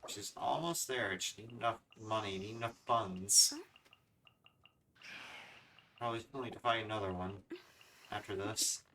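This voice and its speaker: A man's voice: fairly low pitch, unhurried, long pauses, bright, forceful tone, recorded from a distance, a little hiss or hum, low-quality recording. A man livestreaming a video game, chatting casually to his viewers and grumbling with mild swearing as he plays. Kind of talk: casual gameplay commentary to chat. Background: video game music.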